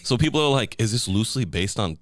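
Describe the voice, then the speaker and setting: Low-voiced man, lively storyteller. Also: fast talker, dry recording. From Massachusetts, USA. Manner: animated storyteller, repeats his phrases. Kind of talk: casual podcast chat.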